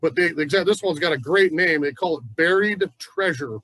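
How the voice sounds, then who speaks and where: Chatty, folksy male nursery instructor, slightly high voice, dry close sound. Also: moderate pace, fairly bright tone, dry recording. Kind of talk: casual gardening class talk. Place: plant nursery.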